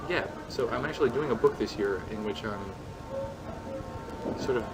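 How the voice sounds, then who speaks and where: A man's voice: normal speed, music in the background, rough recording. A man speaking casually in a hesitant, rambling way about himself. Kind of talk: casual monologue. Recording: loud background noise, vehicle-like rumble.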